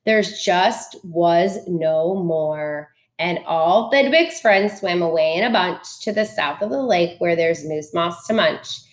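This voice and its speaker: A woman reads a children's storybook aloud in a dramatic, deliberate rhyming delivery. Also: on the low side, roomy sound. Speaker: teacher reading a picture book aloud.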